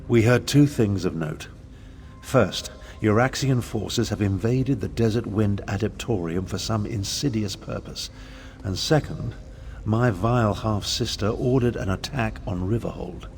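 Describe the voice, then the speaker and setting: Gravelly, sardonic mage prince. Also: male voice, fairly low pitch, measured pace, a little mellow, slight background noise. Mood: wry, composed. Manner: sardonic, articulate, self-assured. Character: wry, fastidious, theatrical prince and scheming mage. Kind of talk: fantasy video game acting.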